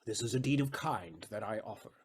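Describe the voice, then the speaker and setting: An actor playing an aggrieved, wily moneylender in a Shakespearean audio drama, deliberate, rhetorical and sardonic in manner. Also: male voice, lowish voice, animated, a little hiss or hum, natural voice. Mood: ingratiating, sly.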